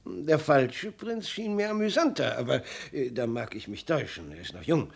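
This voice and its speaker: A man playing a genial, doddering old emperor and father in a 1950s radio play, speaking mildly and hesitantly in a husky, creaky voice. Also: mid-range voice, sing-song, heavily acted. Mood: musing, hesitant. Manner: hesitant, mild, drawn-out murmuring.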